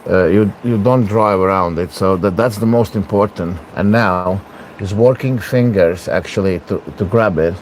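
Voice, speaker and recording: A low-voiced man chats to his viewers in broken English while working through a training exercise. An arm wrestler, he keeps talking through the effort. A faint noise hums behind him. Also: normal speed. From Latvia. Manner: broken English, chatty, talks through exertion. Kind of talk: casual vlog talk while training.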